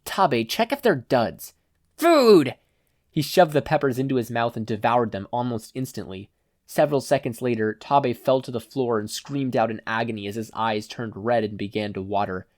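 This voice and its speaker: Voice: medium pitch, steady tone, fairly quick, darkish tone, no echo, studio quality. A narrator reading fan fiction as a scripted audiobook.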